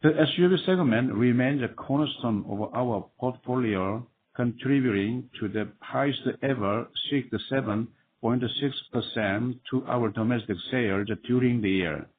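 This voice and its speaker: Male managing director, formal scripted delivery with halting phrasing, medium pitch, narrow telephone sound. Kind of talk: prepared earnings call remarks. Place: conference call. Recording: tinny phone line with clear noise.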